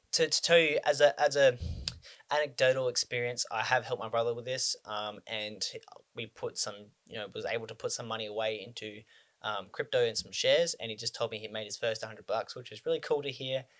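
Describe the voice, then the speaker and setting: A man's voice: medium pitch, fairly bright tone. A man in his twenties from Australia, talking casually to the camera about personal finance, rambling with frequent ums and restarts. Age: 20s.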